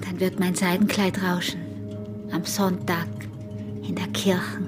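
Guarded, teasing young peasant wife, played by a woman, Austrian accent, breathy murmurs and sharp retorts, radio drama with clear music underneath. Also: lowish voice, flat delivery, a little slow, close to an everyday voice. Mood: yielding, proud. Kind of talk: intimate radio drama acting.

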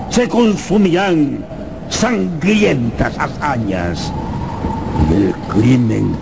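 Indignant old ghost, radio drama, very slow theatrical declaiming, gravelly, widely swinging pitch. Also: male voice, exaggerated voice. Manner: theatrical, pompous, drawn-out declaiming. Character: old male ghost, indignant, vengeful, grandiose and wounded.

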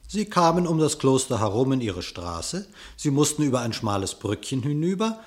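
A man narrating a radio play in verse, expressive and theatrical, with measured diction. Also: medium pitch.

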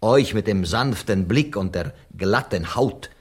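An actor in a radio play voicing a sober, apologetic interpreter who relays others' words in a measured, deliberate way. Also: male voice, lowish voice, lively intonation, slightly rough. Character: sober, apologetic male interpreter between two quarrelling writers.

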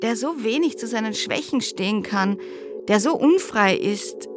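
Woman psychoanalyst, higher voice, reflective, rhetorical, radio drama, music behind. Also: lively intonation, measured pace. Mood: musing, bemused. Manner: conversational, pondering, rhetorical questions. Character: reflective female psychoanalyst, musing wryly on history.

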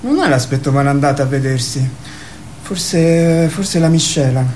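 A stubborn young tinkerer in a radio play, played by a man, with a rather high voice. He grumbles in short, irritated phrases as if concentrating on repairs. Light noise and ambience sit behind him. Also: unhurried, darkish tone, close to an everyday voice. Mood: sceptical, grumbling. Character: exasperated young amateur mechanic, stubborn and grumbling. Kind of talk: radio theatre acting.

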